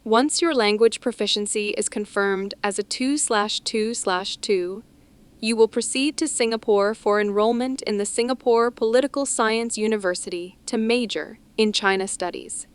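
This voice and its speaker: A man's voice: high-pitched, normal speed, smooth, voice pushed up, natural voice. A man voicing a pleasant, melodious female naval officer speaking formally on the phone. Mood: polite. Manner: soft, polite, formal.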